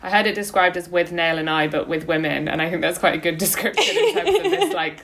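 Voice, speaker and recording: A woman's voice: on the low side, sing-song, fast, a bit bright. A woman co-hosting a podcast, chatting in an informal, conversational way. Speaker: podcast co-host. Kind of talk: casual podcast chat giving recommendations.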